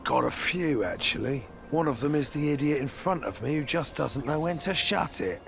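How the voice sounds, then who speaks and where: A man voicing a surly, hostile thug in a fantasy game, speaking rudely with a gravelly voice. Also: fairly high pitch, lively intonation, moderate pace, a bit bright, a little hiss or hum. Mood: contemptuous. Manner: rude, contemptuous. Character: surly gruff male camp thug, hostile. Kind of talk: game character voice acting.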